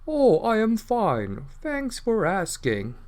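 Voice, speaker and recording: Stiff, slow, sing-song textbook speaker , a man parodying it. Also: high-pitched, wildly swooping pitch, darkish tone, pitched above the performer's own voice, heavily acted. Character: stiff textbook English speakers, overly formal.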